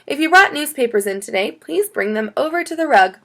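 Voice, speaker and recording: Woman voicing a calm, high-voiced schoolteacher. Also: a little fast. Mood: instructive. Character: calm, instructive female elementary school teacher. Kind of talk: children's audiobook character.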